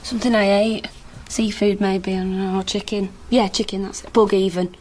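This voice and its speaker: An actress playing a frank probate researcher in a radio drama, informal and direct in manner. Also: female voice, medium pitch, level tone, normal speed, a little mellow, slight background noise, natural voice. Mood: subdued, evasive.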